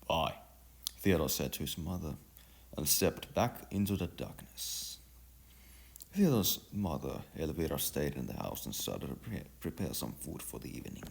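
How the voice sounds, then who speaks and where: Deep, gravelly male story narrator. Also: expressive. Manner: halting delivery with stumbles and re-read phrases. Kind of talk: dramatic scripted story narration. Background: music.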